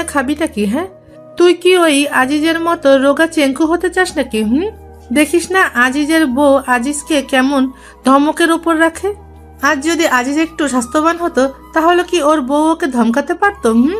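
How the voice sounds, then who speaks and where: A woman's voice: high-pitched, close to an everyday voice. A woman playing a bossy, nagging mother of a small boy in an audio story. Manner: nagging, questioning. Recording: music behind the voice.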